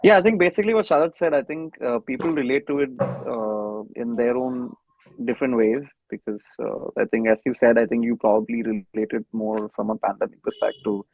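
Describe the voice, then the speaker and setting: Dry, somewhat lo-fi audio of a man with an Indian accent. He has a medium-pitched voice and answers in a casual, conversational way as an interviewed musician. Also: steady tone, brisk, vocal fry, no room sound. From Kochi, India. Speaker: band musician, interview guest. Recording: dry, dull lo-fi call audio.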